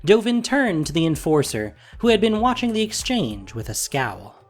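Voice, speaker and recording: Animated narrator with music underneath. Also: medium pitch, normal speed. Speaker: narrator and producer. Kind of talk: scripted story narration.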